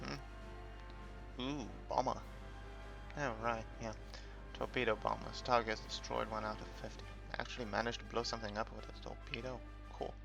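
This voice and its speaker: A man's voice: mid-range voice, steady tone, normal speed, vocal fry. A man from the UK giving a casual, unscripted game walkthrough, rambling and hesitant as he thinks aloud. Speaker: gamer making a game tutorial. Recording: muffled, dull recording with background music.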